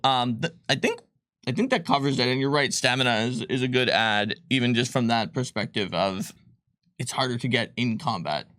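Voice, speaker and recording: A man co-hosting a game design live stream, talking casually with many pauses and fillers. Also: medium pitch, no room sound, clean studio sound. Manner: relaxed, hesitant, many fillers.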